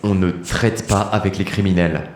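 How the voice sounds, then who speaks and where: An actor playing a blunt, combative veteran who leads adventurers, speaking curtly in an audio drama. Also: male voice, sits low, steady tone, normal speed, a little mellow, natural voice. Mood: defiant. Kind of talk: French audio drama acting. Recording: roomy echo, faint noise.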